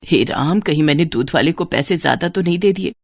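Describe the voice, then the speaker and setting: A woman's voice: deep voice, expressive, a little fast, gravelly, no echo, heavily acted. A woman voicing an illiterate, trusting older mother-in-law in a story, homely, earnest and a little fretful. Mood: worried, regretful.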